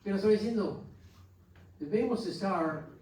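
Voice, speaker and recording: American pastor preaching in an echoey hall. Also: male voice, high voice, a little mellow. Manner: earnest, exhorting with halting restarts. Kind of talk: Sunday school teaching. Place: church hall. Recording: echoey church hall from far off.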